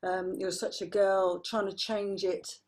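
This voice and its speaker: Husky-voiced woman musician guest. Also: mid-range voice, little pitch movement, measured pace, a little mellow. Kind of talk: casual podcast interview.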